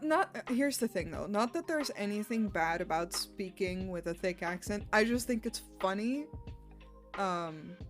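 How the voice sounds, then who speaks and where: A woman, chatting casually on a livestream while she works, laughing often and swearing offhand. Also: mid-range voice. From Netherlands. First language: Dutch. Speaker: streamer.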